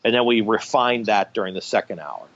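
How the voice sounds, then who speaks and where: A man's voice: lowish voice, animated, brisk, a bit bright, muffled recording. A man speaking as a radio theatre director in an interview, explaining things conversationally, step by step and with examples.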